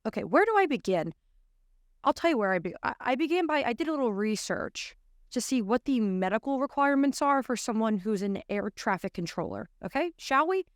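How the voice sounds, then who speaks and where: A woman's voice: a little high, no room sound. An American woman in her twenties giving emphatic, incredulous opinion commentary to camera, close on a studio microphone. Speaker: commentator and show host. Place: small indoor studio. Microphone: close studio microphone on an arm.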